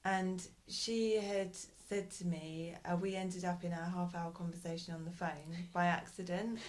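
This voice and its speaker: A woman with a fairly low voice answers interview questions in a relaxed, conversational way. Her pitch barely moves, and she talks thoughtfully, pausing and restarting as she gathers her words. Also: poor audio. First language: English. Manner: thoughtful, hesitant, with frequent pauses and restarts. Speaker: interviewee, bilingual parent.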